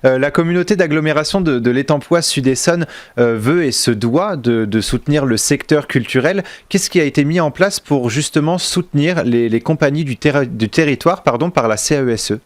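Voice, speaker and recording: A man hosting a radio interview, putting his questions in a halting way with repeated words. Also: fairly high pitch, lively intonation, no room sound.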